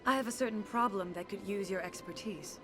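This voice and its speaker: A composed, courtly consort played by a woman with a fairly low voice. She speaks formally and deliberately, discreet and self-possessed, in a video game with clear music behind her. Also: natural voice. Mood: composed, commanding. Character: poised, influential alien consort, courteous and discreet.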